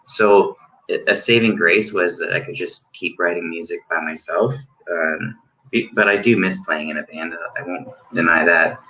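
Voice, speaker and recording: A man with a deep voice talks in a loose, rambling way, full of fillers and false starts. His tone is bright and forward. He sounds like a musician guest in a casual chat. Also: moderate pace, dull audio, slight background noise. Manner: rambling, many likes and restarts.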